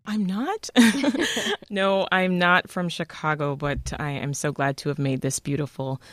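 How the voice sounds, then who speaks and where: A woman from Jamaica, a new radio host, telling stories from her childhood in a relaxed, upbeat interview. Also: lowish voice, a little slow, no room sound. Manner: upbeat, laughs often, warm storytelling. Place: radio studio.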